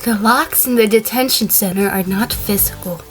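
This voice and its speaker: A woman playing a cool, guarded sheep defendant testifying deliberately in a fan audio drama. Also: medium pitch, soft background music, lightly acted. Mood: composed, recounting. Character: female sheep defendant, cool, guarded former assistant mayor.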